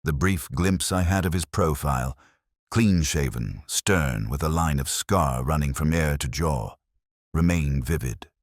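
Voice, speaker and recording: A man reading scripted first-person mystery narration in a measured, literary storytelling manner. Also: rumbling low, no echo, studio quality. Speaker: audiobook narrator.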